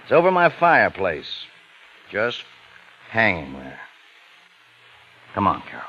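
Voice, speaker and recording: An actor playing a hard, taunting police detective in a 1940s radio thriller, pointed and coolly accusing. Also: male voice, fairly low pitch, huge pitch swings, measured pace. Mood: grim, taunting. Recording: dull 1940s radio recording.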